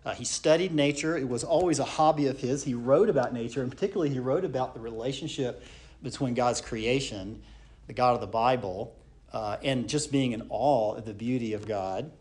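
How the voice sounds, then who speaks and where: A man's voice: medium pitch, brisk, a little mellow. Man teaching church history. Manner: conversational, with fillers and rhetorical questions. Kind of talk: unscripted history lecture.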